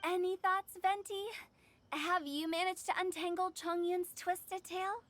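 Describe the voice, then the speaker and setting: A woman's voice: very high voice, steady tone, unhurried, a bit bright. A woman playing a playful, theatrical young funeral director in an anime game, with mischievous, flowery speech. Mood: teasing, curious.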